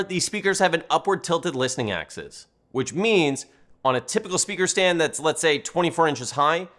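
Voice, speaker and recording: A man with a slightly high-set voice narrates a scripted review. He speaks as a product reviewer, presenting in prepared sentences. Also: fairly bright tone.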